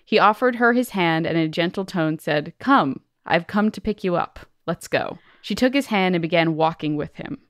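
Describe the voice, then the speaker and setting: A woman's voice: on the low side, animated, normal speed, hardly any pauses, dry recording, studio quality. A woman co-hosting a podcast, reading listener stories aloud and chatting in a casual, colloquial way. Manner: colloquial, peppers speech with "like". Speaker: podcast co-host.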